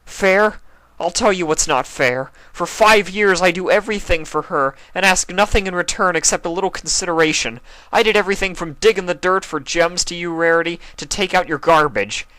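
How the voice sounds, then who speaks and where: A heartbroken young male pony lashes out loudly and bitterly, as a man reading a storybook puts him on. The voice climbs high with the anger. The sound is dry and close. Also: a little fast, few pauses, no room sound, low-quality recording. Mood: angry. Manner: loud, angry.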